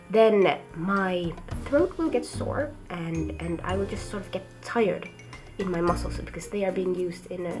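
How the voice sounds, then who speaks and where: Lively playful woman with Swedish accent. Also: mid-range voice. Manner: chatty, playful, giggly. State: ill. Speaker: vlogger. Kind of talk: casual chat to camera. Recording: background music under the voice.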